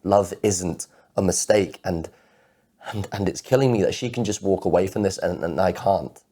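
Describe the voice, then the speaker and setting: A heartbroken, anxious man played by a male actor delivers a confessional monologue in a very deep, dark voice. He stammers over repeated words as his obsessive thoughts intrude, and his tone is husky and rough. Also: steady tone, exaggerated voice. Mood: anguished, pleading. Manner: halting, stammering repetition of words. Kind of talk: dramatic monologue performance of spoken-word poetry.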